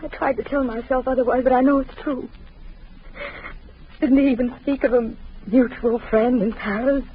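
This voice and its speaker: A frightened young wife, played by a woman, with a high, breathless voice that pleads anxiously. The sound is old and dull, like a lo-fi radio recording. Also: steady tone, moderate pace, slight background noise, natural voice. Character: frightened young woman hiding from her jealous husband. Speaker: actor in a radio drama. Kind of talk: 1940s radio acting.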